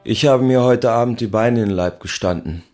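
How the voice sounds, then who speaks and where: An actor playing a plodding, devoted country doctor and husband in a radio drama, speaking in a plain, good-natured way. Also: male voice, medium pitch, fairly quick, darkish tone, soft background music, lightly acted. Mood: weary.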